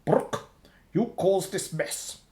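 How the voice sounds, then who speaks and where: Menacing, sarcastic crime boss, echoey room. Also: male voice, sits high, takes it slowly, darkish tone. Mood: cold menace. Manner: clipped, commanding, sardonic. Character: menacing sarcastic male boss of a shady firm. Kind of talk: radio play acting.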